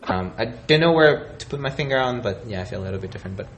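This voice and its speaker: A man's voice: medium pitch, animated, fast talker, a bit bright, slight background noise. A man interviewing someone in a casual conversation, rambling and often trailing off mid-thought.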